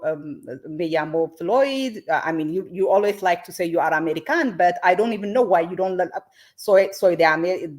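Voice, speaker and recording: A woman from Ethiopia, hosting a confrontational interview and asking pointed, accusing questions between many halting fillers. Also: on the low side, darkish tone. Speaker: host.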